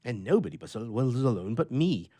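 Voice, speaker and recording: Guy doing a grumpy lonely old bachelor from a storybook, big indignant speeches, pitch jumps all over the place. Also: very animated, a little fast, mellow. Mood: wistful, aggrieved. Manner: emphatic, indignant, old-fashioned oratorical delivery. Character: irritable, lonely old bachelor who hates holidays. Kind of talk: theatrical storybook reading.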